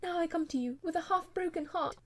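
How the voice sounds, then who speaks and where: A woman's voice: very high-pitched, a little fast, voice pushed up. A woman voicing a distressed young noblewoman who pleads with her husband in a faltering voice, in a storybook reading. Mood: reproachful.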